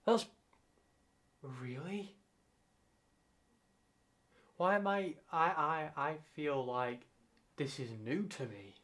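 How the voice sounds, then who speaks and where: A young man casually reacting to a video, talking in halting, rambling asides that he keeps correcting mid-sentence. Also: on the high side, fairly bright tone.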